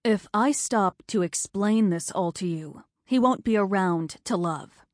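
A woman's voice: medium pitch, measured pace, no echo, studio quality, natural voice. A woman voicing a cold, curt male surgeon who speaks in brusque, clipped lines.

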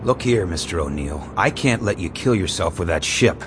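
A man voicing a loyal young test pilot who speaks earnestly and quietly in a subdued, miserable way. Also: medium pitch, sing-song, extremely slow, slight background noise, pitched above the performer's own voice. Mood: earnest, glum.

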